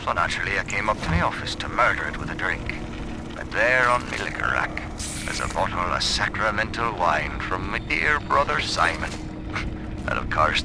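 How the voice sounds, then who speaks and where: Drunken British ranter, animated. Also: male voice, deep voice, creaky, background noise, exaggerated voice. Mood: sour, weary. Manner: ranting, sardonic, slangy. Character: drunken, bitter, foul-mouthed brothel-keeper and former architect. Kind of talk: video game audio diary monologue.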